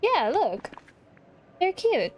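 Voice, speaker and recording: Girl gamer chatting over her playthrough, pretty high voice, pitch goes all over. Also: huge pitch swings, drawn-out words, dull audio, a little hiss or hum. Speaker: gaming video host. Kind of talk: casual let's-play commentary.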